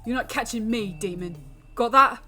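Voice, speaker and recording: A woman voicing a frightened but defiant young male traveller with blunt, rough speech, in a dramatic visual novel reading. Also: on the high side, moderate pace, bright, forceful tone, faint music behind.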